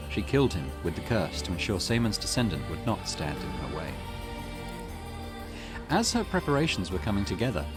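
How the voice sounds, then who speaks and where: A man hosting a gaming channel, reading a visual novel's text aloud and adding casual asides. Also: on the low side. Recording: game music playing behind.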